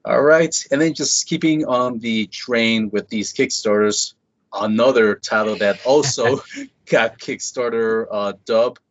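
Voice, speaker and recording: A man's voice: mid-range voice, unhurried, no echo. Chatty male podcast host, muffled. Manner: chatty, enthusiastic fan. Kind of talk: casual interview chat. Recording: dull lo-fi sound, dry.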